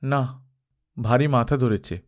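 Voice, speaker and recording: A man's voice: mid-range voice, steady tone, unhurried, natural voice. A man voicing a naive, earnest young brother-in-law who questions in bewilderment, in a dramatised novel reading. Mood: subdued.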